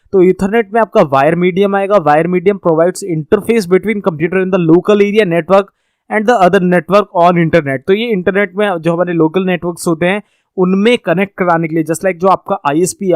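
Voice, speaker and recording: A man with a high voice gives a casual classroom-style explanation, talking straight to his viewers. He drops English terms into his Hindi sentences. The sound is close and dry, with no room around it. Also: dry recording. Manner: conversational teaching, addresses viewers directly, mixes English terms into Hindi. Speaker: networking course instructor. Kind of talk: explaining slides in a recorded lesson.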